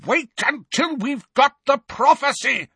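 A man voicing a cold, commanding male dark wizard who gives clipped orders. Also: deep voice, takes it slowly, no echo, heavily acted. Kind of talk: audiobook character voice.

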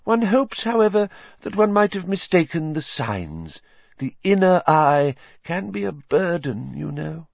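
A man voicing an airy, dreamy older woman who teaches fortune-telling, speaking in a hushed, mystical, drawn-out way. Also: fairly high pitch, big pitch swings, creaky, no echo, pitched above the performer's own voice, exaggerated voice. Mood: lofty. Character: airy, dreamy female seer, an old teacher. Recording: dry, slightly dull lo-fi.

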